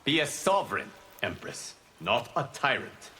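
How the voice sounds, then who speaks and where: An actor playing a solemn fire god and guardian in a video game, speaking in a measured, formal, cryptic way. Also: male voice, mid-range voice, sing-song, pushed and bright, faint music behind. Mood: grave, counseling.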